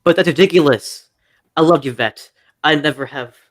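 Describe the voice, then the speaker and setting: A man playing a lovesick, hot-headed young gentleman in an amateur radio mystery play. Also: fairly high pitch, moderate pace, background noise, close to an everyday voice. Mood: agitated.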